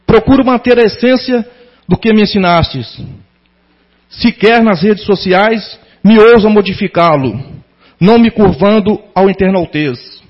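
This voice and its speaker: High-voiced, husky Brazilian man giving a speech. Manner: formal, sentimental, deliberate phrasing. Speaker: former pupil giving a tribute to a teacher. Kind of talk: prepared public tribute speech. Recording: dull lo-fi sound.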